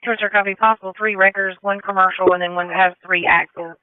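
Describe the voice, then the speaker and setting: A woman's voice: mid-range voice, fairly even intonation, fast talker, smooth, no room sound. A woman working as an emergency dispatcher, reading back information in a businesslike way over two-way radio. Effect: two-way radio transmission. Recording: tinny telephone-like radio dispatch channel.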